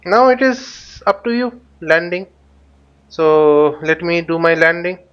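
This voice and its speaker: Higher-pitched man, casual tutorial walkthrough. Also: expressive, slow, fairly bright tone, clean tone. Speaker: host of a flight simulator tutorial. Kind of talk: casual spoken walkthrough while flying a simulator. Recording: dull, lo-fi microphone sound.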